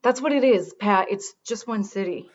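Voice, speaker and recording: A woman's voice: mid-range voice, moderate pace, close to an everyday voice. A woman playing a sarcastic, defensive radio-show boss in a naturalistic comedy drama, recorded in dull lo-fi sound. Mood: testy. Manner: sarcastic, confrontational.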